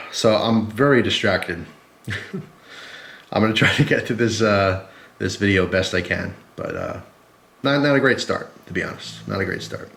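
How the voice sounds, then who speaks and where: A man's voice: on the low side, normal speed, gravelly, long pauses, a bit bright. A man with a stuffy, head-cold voice chatting casually and informally to camera as the host of a gear review vlog, rambling along in a conversational way. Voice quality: congested, stuffy-headed. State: sick with a cold and flu. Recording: echoey, roomy sound.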